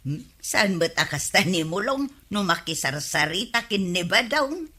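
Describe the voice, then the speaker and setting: A woman's voice: fairly low pitch, big pitch swings, a little slow, sharp tone, breathy edge, full character voice. A woman with an Ilocano accent playing an observant, gossipy village woman in a comedy radio drama. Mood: teasing, observant.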